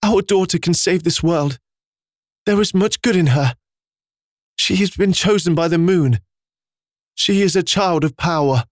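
A man voicing a frightened young mother, her voice pitched well above his own and breathless with pleading. The read is close and dry, with no room sound. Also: a little slow, long pauses, dry recording.

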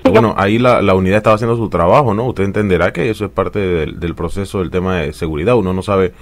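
A man's voice: low-pitched, quick pace, noisy background, lightly acted. A man playing a polite, formal Panamanian bureaucrat with hesitant delivery in a radio prank call. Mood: officious, polite. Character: polite officious male public relations chief, prank caller.

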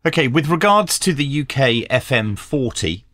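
A man's voice: medium pitch, expressive, moderate pace, pushed and bright, no echo. A middle-aged man hosting a hands-on product review and tutorial, explaining things step by step with dry asides. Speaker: radio review channel host. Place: small indoor home workshop.

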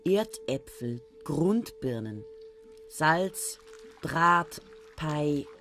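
A woman's voice: deep voice, animated, extremely slow, a bit bright, faint music behind, heavily acted. An actress playing a young female student who narrates a radio play in a wry, brooding way and bitterly hates potatoes. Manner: wry, brooding, clipped short sentences.

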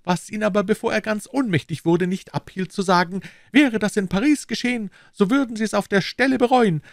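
A man voicing an excitable, servile innkeeper with a high, eager, fawning way of talking. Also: a little fast, creaky, no room sound, studio quality.

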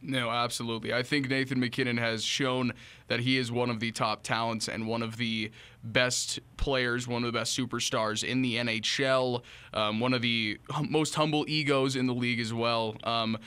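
A young man in his twenties hosts a sports interview. His voice is mid-pitched, bright and crisp-edged, picked up close on a studio microphone as he sets up questions for his guest. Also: fairly even intonation, moderate pace. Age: 20s. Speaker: sports podcast host. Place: small office studio, indoors. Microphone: close studio mic on boom arm.

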